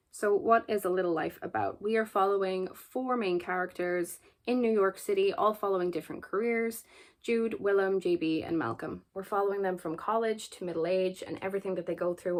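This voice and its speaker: A woman in her twenties with an Irish accent, chatting earnestly about books to camera, often repeating words for emphasis. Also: medium pitch. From Ireland. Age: 20s. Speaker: book vlogger. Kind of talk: casual book chat to camera.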